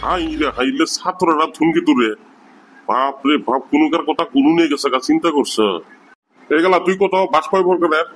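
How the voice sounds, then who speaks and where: A man's voice: fairly high pitch, a little fast, fairly bright tone, a little hiss or hum, lightly acted, poor audio. A man voicing an exasperated, scolding village teacher. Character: exasperated middle-aged male village teacher.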